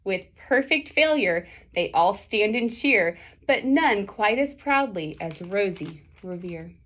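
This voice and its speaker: A woman's voice: medium pitch, lively intonation, slow, fairly bright tone. A woman in her thirties reading a picture book aloud expressively to children, with dramatic phrasing and short asides to her listeners. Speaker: storybook reader for children. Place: small indoor classroom or office.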